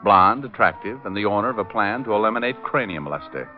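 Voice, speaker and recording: An actor playing a glib, flirtatious private detective who narrates his case with deadpan quips in vintage radio style. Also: lowish voice, quick pace, lightly acted. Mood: wry narration. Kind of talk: 1940s-50s radio detective acting, hardboiled narration. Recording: muffled old-time radio recording with music.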